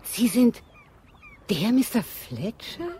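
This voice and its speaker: An actress playing a kindly, scatterbrained woman who runs a home for wayward girls in a radio drama; she speaks earnestly and gets gently flustered. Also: fairly high pitch, sing-song, slow, a little hiss or hum.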